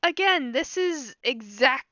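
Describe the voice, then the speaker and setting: Woman host, fresh off a cold, unhurried and bright, dull lo-fi dry audio. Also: high voice, lively intonation, no echo. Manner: eager fan, laughs often, quick asides. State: recovering from a cold. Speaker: host of a watch-along. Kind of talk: casual chat.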